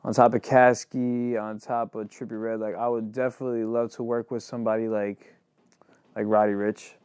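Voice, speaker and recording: A man's voice: on the low side, flat delivery, moderate pace, a little mellow, clear, resonant tone, no room sound. A young man, a rapper and singer, talking casually in an interview, rambling and filling his sentences with "like". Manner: loose and rambling, heavy on "like".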